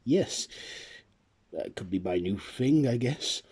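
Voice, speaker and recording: A man's voice: medium pitch, lively intonation, slow, darkish tone, breathy edge, voice pushed up. A man voicing a laid-back male talking rabbit, speaking casually and easily. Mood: easygoing.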